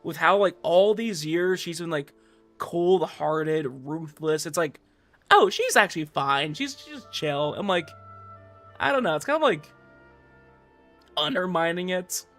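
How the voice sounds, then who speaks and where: Guy streamer w a slightly high voice, rambly gamer slang, music going behind him. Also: expressive, unhurried, fairly bright tone. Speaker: livestream host. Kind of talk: casual chat while streaming a game.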